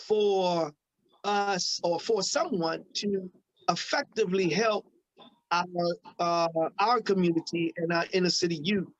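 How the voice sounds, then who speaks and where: A man's voice: high voice, no echo, low-quality recording. Man in his forties, laughing host. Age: 40s. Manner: laughs often, repeats words. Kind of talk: casual group chat.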